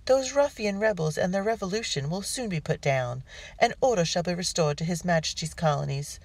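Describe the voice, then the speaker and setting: A woman's voice: on the low side, a little fast, darkish tone, dry recording, pitched above the performer's own voice. A woman voicing a fussy, doting loyalist mother in the colonies, cooing and genteel in an audiobook.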